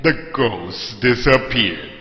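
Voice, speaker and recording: A man's voice: mid-range voice, lively intonation, takes it slowly, lo-fi sound. A man narrating a scary story in a dramatic, scripted style. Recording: echoey long reverb. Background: music.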